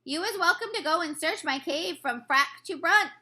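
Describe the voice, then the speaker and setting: A woman voicing rough, bullying male giants in a children's storybook, shouting taunts in broken grammar. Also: very high-pitched, moderate pace, voice pushed up, full character voice. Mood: boastful. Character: flesh-eating giants, rough male bullies, jeering and shouting. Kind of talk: children's storybook read-aloud.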